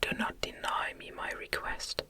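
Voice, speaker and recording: A woman voicing a lonely, eloquent male monster in a gothic storybook reading, imploring earnestly and formally in a breathy whisper. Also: measured pace, sharp tone. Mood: pleading.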